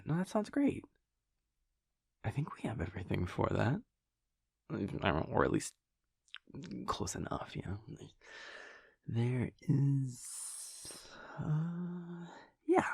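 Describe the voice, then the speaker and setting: A man playing an easygoing, affectionate vampire boyfriend in an intimate audio roleplay, rambling and hesitant in a husky, gravelly voice. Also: a little high, very animated, takes it slowly, long pauses, no room sound, studio quality, exaggerated voice. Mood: relaxed, agreeable. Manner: rambling, hesitant, soft chuckles between thoughts. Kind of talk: intimate audio roleplay, naturalistic monologue to a partner.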